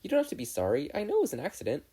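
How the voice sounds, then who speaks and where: A male narrator performs a teenage girl in a hero story, lifted to a high pitch. She is gentle and flustered, insisting nervously as she reassures. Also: wildly swooping pitch, rapid-fire, breathy edge, heavily acted. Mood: nervously reassuring.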